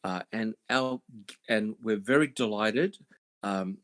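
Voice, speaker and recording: Male podcast host, lowish voice, halting delivery full of uh and um. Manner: halting, many uh and um fillers. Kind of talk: casual panel podcast chat.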